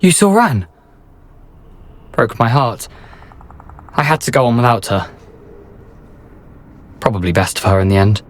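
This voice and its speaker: A man's voice: medium pitch, big pitch swings, measured pace, long pauses. A man playing a jaunty young ghost, a roguish adventurer, with a theatrical, self-satisfied manner in a video game. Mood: wistful. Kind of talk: video game character acting.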